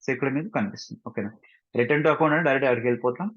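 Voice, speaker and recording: A man with a Telugu Indian accent speaks very quickly in a dull, lo-fi recording. He sounds like an instructor walking through software informally, dropping English technical terms into his speech and pausing for brief check-in questions. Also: mid-range voice. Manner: often checks understanding with short tag questions. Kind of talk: informal screen-share tutorial.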